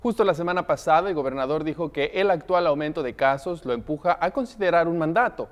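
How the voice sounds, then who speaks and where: A man news anchor reads scripted broadcast copy in a fairly high voice. The recording has a long reverb around his voice. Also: animated, fast talker. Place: TV news studio.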